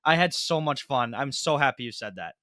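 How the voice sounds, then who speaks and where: Bright-voiced American male interviewer. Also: medium pitch, animated, brisk, no room sound, studio quality. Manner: enthusiastic, fan-like. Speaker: interviewer, host. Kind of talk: casual interview.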